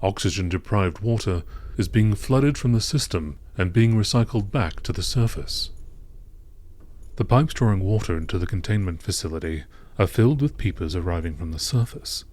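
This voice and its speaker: A man with a low-pitched voice delivers scripted narration in the manner of a nature documentary. His reading is measured and clinical, keeping a matter-of-fact tone throughout. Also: expressive, darkish tone. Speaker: narrator reading game lore entries.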